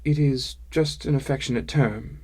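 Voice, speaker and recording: A cheerful, loud female dwarf stablehand with oddly formal, stilted phrasing, as a male narrator puts her on. Her voice sits a little higher than his own and keeps a husky edge. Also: steady tone, normal speed. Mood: explaining. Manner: stilted phrasing with much "is being".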